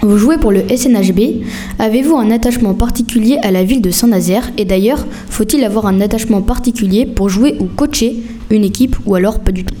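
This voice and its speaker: A woman's voice: medium pitch, brisk, dark tone. A woman working as a radio interviewer, putting prepared questions to her guest.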